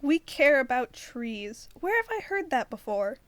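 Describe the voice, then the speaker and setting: An actress plays an earnest young woman in an audio drama. Her voice is high, and she tells her story in a chatty, expressive way. She sounds curious and determined. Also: a little slow. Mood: earnest, questioning. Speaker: narrator and lead actor of an audio drama. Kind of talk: audio drama narration and dialogue.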